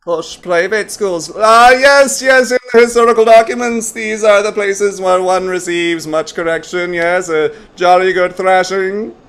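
An actor playing an eager, formal and pedantic non-human newcomer who poses as a human in an audio comedy. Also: male voice, high voice, a little slow, hardly any pauses, fairly bright tone, clear, resonant tone. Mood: earnest, pedantic. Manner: formal, pedantic, literal-minded. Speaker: new pupil in an online acting class. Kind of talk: comedy audio drama acting.

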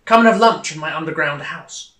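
A man's voice: on the high side, brisk, fairly bright tone, roomy sound, natural voice. A man voicing a sly, hungry storybook fox who is easily spooked, inviting and questioning. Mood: inviting, curious.

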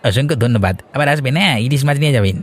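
A man's voice: mid-range voice, lively intonation, racing, a faint crowd, close to an everyday voice. A man voicing a pushy, deferential market fishmonger in a cartoon, with wheedling, persistent sales patter. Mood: placating. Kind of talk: cartoon fairy-tale voice acting.

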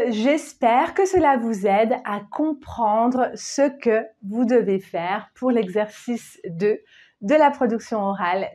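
Slow, patient woman teacher. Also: fairly high pitch, warm tone. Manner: patient, deliberate, reads model answer slowly. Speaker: French teacher. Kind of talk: slow, clear exam-prep lesson. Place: small plain indoor room. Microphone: lapel mic.

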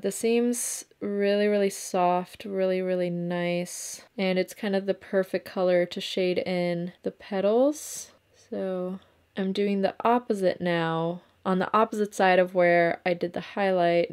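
Chatty young woman narrating her makeup. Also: mid-range voice. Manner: chatty, explains each step as she paints. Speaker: makeup artist.

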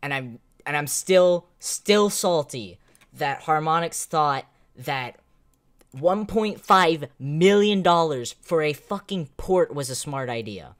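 A young man streams a video game from home, reacting live in casual slang. He is excitable and swears freely. His words come out slowly and drawn out. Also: a little high. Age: young adult. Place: indoors, small home room.

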